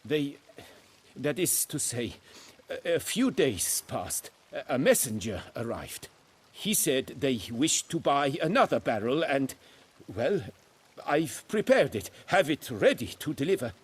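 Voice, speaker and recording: Raspy, pleading, frightened wine master. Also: male voice, mid-range voice, sing-song, unhurried. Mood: nervous, begging. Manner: stammering, pleading, deferential. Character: frightened, guilt-ridden male wine master of fallen nobility. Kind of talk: dramatic video game acting.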